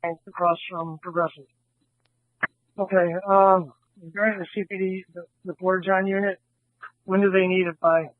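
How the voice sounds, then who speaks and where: A man with a high, level voice coordinates service requests by radio. The signal is dry and very narrow, as on a scanner. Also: flat delivery, normal speed, pauses often, dry recording. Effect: two-way radio. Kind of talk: dispatch radio exchange.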